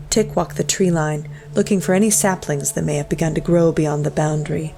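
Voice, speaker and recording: A woman with a low voice full of fry narrates a dark horror tale. Her delivery is solemn and measured, with soft music underneath. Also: rough recording. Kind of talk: scripted narration of a dark folk-horror story.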